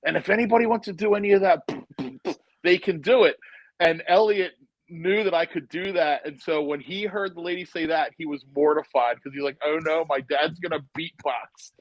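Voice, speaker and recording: Middle-aged male guest for a chat show. Slightly higher pitch, dry recording, loose joking storyteller. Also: normal speed, dry recording, poor audio. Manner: joking, rambling storyteller, speeds up when excited.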